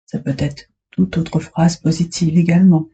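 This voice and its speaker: A woman guiding a relaxation meditation as a yoga instructor, soothing and unhurried, speaking in short phrases with long pauses. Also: fairly low pitch, fairly even intonation, warm tone, slightly rough, muffled recording.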